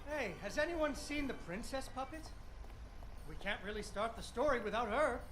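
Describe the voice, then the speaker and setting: A man's voice: extremely high pitch, animated, moderate pace, poor audio. An actor playing a father who tells a fairy tale at a puppet show in a video game, in a wry storybook delivery meant for a child. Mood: playful, searching. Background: music.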